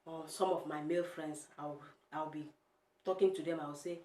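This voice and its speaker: A woman's voice: deep voice, steady tone, moderate pace, darkish tone. A young woman with a Nigerian Igbo accent, talking straight to her viewers in a casual opinion vlog and firing emphatic rhetorical questions at them. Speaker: vlogger. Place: home room. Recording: echoey room.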